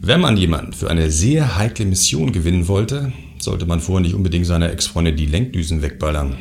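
A man's voice: deep voice, lively intonation, fairly quick, vocal fry, darkish tone, faint music behind. A man playing a scheming, self-assured sect leader who narrates his thoughts dryly in a science fiction radio play. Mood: wry, calculating.

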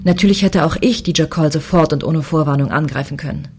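A woman playing a proud, combative chief space commissioner, crisp and sardonic, in a science fiction radio play. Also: low-pitched, fast, darkish tone, slight background noise, natural voice. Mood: brooding, wounded pride. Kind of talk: radio play dialogue and inner monologue.

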